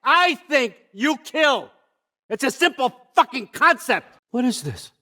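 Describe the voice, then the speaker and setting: A man's voice: very high-pitched, big pitch swings, unhurried, pushed and bright, heavily acted. An older American man acting in a video game as a scheming, controlling old father god, wry, folksy and conversational as he manipulates. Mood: furious.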